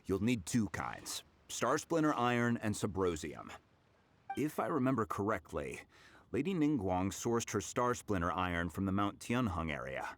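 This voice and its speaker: An actor playing a humble, friendly blacksmith in a video game, with a folksy, hesitant way of talking. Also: male voice, fairly low pitch, big pitch swings, moderate pace, fairly bright tone. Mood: helpful, musing. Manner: hesitant, folksy, chuckling, colloquial. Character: humble, friendly male blacksmith, a bit slow to catch on.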